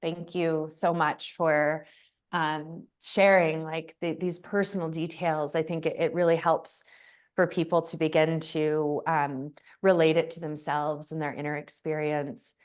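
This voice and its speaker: A woman hosting an interview, sharing a personal story in a gentle, halting way with frequent pauses. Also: low voice, flat delivery. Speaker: podcast host.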